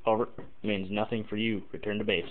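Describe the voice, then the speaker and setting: A man with a fairly low voice comes through a narrow, phone-like recording. He explains things casually and haltingly, thinking aloud as he goes, and slips into example radio calls. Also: little pitch movement, noisy background. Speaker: amateur CB radio tutorial maker. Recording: muffled, tinny phone-like lo-fi audio.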